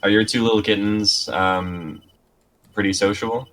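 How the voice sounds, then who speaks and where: A man's voice: fairly low pitch, steady tone, normal speed, a bit bright. A young adult man talking casually as one of the hosts of a group stream. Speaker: streamer introducing scripts.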